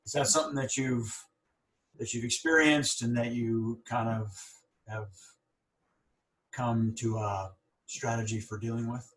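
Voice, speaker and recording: A man who stutters, speaking at a middle pitch as he hosts a conversation, thoughtful and encouraging. His voice sits back from the mic and rings around a roomy space. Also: fairly even intonation, measured pace, pauses often, fairly bright tone. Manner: stutters, with restarts and repeated words. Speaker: podcast host. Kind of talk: conversational interview. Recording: roomy, a bit far from the mic.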